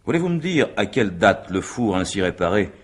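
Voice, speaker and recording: A very formal examining magistrate in a radio mystery play. His voice is low and his phrasing measured. He probes methodically and pedantically, suspicious of the witness he questions. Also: big pitch swings, slightly rough. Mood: dry, insistent. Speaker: actor.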